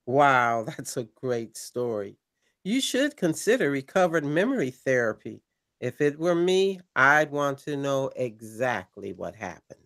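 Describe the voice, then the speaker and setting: A man playing an earnest late-night pirate radio host with a measured, showmanlike delivery in an audio drama. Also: medium pitch, big pitch swings, a bit bright.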